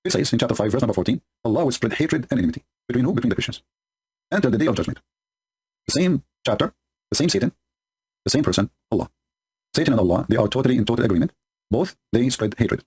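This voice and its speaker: Male commentator, native Arabic speaker, husky voice, sarcastic and combative, broken English, sped-up recording. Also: mid-range voice, big pitch swings, fast talker, long pauses, darkish tone. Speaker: religious polemic commentator. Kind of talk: commentary reading and mocking scripture. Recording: sped up to double speed.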